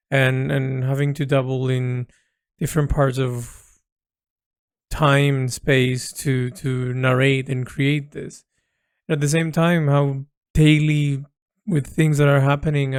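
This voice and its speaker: A man talks through a creative audio log in a mid-pitched voice with noticeable fry. The delivery is thoughtful and halting, circling back over words and letting phrases trail. The sound is close and dry, with very little room around it. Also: dry recording. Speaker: artist recording an audio log about their creative project. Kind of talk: reflective solo audio diary.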